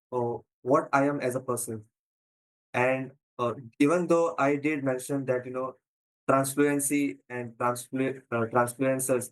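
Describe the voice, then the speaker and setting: A man from India with an Indian English accent gives a conference talk, pausing often with fillers and stuttering. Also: medium pitch, rough recording. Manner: frequent pauses and fillers, stutters. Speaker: conference presenter. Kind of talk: prepared talk.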